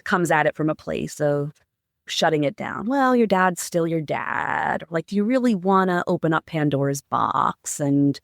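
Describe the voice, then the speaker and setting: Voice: medium pitch, lively intonation, measured pace, gravelly, no room sound, studio quality. A middle-aged psychotherapist and professor answering interview questions earnestly, often restarting words and adding "like" and "right?". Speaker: psychotherapist and professor, podcast guest.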